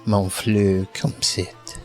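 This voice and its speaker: A man's voice: lowish voice, flat delivery, drawn-out words, a little mellow, soft background music. A man playing a romantic, flirtatious French charmer with a courtly, earnest manner in an amateur audio drama. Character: romantic French-speaking male charmer and idle drinker.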